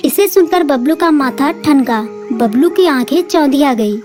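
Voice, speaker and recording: A woman narrating a cartoon story in a calm, even storytelling voice. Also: sits high, a little slow, faint music behind.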